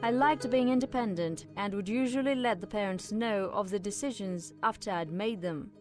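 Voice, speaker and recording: A woman's voice: mid-range voice, moderate pace. A woman reading scripted documentary narration as the narrator, with instrumental music behind her.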